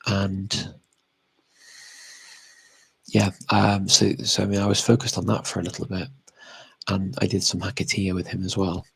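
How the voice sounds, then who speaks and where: A man's voice: deep voice, completely flat delivery, a little slow, vocal fry, pauses often, breathy edge, no echo, poor audio. A man chatting casually and unscripted as he answers audience questions as a language expert, often hesitating and correcting himself as he thinks aloud.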